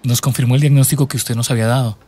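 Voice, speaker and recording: An actor playing an earnest, worried young boyfriend in a radio drama. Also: male voice, mid-range voice, steady tone, rapid-fire, mellow, lightly acted. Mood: somber, supportive. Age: young adult.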